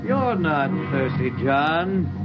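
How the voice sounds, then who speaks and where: An eerily calm impostor brother. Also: male voice, fairly high pitch, over loud music. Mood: eerie calm. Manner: calm, slow and knowing. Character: Martian posing as the captain's dead brother. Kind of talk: 1950s radio drama acting. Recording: dull vintage radio broadcast.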